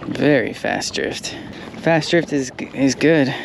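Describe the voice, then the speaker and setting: A young man with a gravelly, mid-pitched voice talks through his fishing plan while he drifts in a kayak. He is relaxed and thinks aloud, as if he were chatting to the camera. Wind and water noise come clearly through the action-camera audio. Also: slow pace. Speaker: kayak angler. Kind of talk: casual fishing commentary. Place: kayak on open water outdoors. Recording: windy outdoor camera audio.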